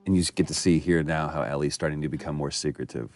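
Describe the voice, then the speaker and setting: A man's voice: a bass voice, fast, darkish tone, soft background music. A man giving casual, reflective commentary on scenes from a video game. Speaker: commentator on a game's cutscenes.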